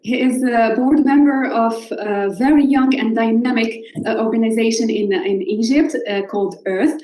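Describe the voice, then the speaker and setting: A woman's voice: on the high side, moderate pace, warm tone, echoey room, low-quality recording. A woman from Morocco, whose first language is Moroccan Arabic, moderating a live online panel with frequent hesitant fillers over a video call. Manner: hesitant, many filler uhs.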